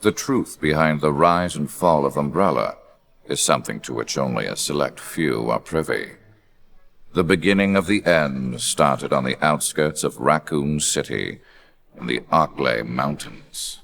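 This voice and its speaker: A man voicing a cold, arrogant, scheming superhuman video game villain in a measured, theatrical, menacing delivery with a gravelly voice. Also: a bass voice, a bit bright, full character voice. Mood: ominous narration. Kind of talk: scripted video game villain narration and dialogue.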